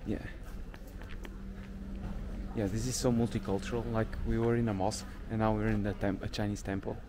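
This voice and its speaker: A man's voice: lowish voice, little pitch movement. A man from Portugal, chatting easily and laughing often as a travel vlogger. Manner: easygoing, quick to laugh, many yeahs. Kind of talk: casual travel chat. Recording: noisy outdoor street.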